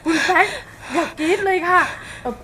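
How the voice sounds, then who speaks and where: A woman's voice: very high voice, measured pace, very noisy. An actress playing a timid, polite housemaid who begs her employers to stop fighting. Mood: pleading. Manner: meek, pleading and deferential.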